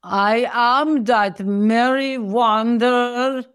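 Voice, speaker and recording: A woman playing an aloof, sardonic cat in an audio play, with a languid, disdainful, deadpan manner. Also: fairly high pitch, drawn-out words, smooth. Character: aloof, sardonic female cat, haughty neurotic feline.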